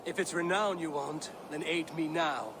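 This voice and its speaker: An actor playing a stern, blunt Viking raider who speaks in terse, solemn, archaic phrases in a video game. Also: male voice, fairly high pitch, normal speed, lightly acted. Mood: resolute. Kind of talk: dramatic video game acting. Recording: clear background noise of game ambience.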